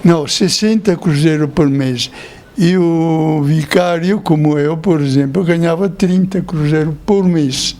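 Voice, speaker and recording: An older Italian-born priest, a man, telling his memories slowly in an interview, with small chuckles. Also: a little high, dark tone. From Italy. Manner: slow storytelling with chuckles.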